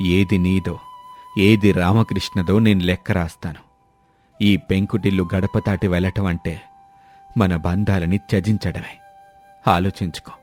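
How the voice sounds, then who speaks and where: A man's voice: deep voice, steady tone, unhurried, pauses often, darkish tone, faint music behind. A man playing a grave, sorrowful old father who heads a village family in a moral story. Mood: grave, hurt. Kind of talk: moral story character dialogue.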